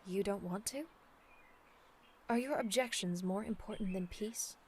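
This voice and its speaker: A woman playing a dignified, earnest demon princess in a scripted ASMR audio roleplay, speaking in a formal, measured, persuasive way. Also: mid-range voice, slight background noise, lightly acted. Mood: insistent. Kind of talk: scripted ASMR roleplay acting, addressed to the listener.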